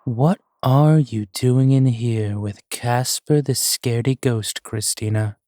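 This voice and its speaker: A man's voice: mid-range voice, fairly even intonation, slow pace, warm tone, no room sound, studio quality, natural voice. A man playing a grumpy, jealous ghost boyfriend in an audio roleplay, with a sardonic, sullen manner. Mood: sardonic, needling.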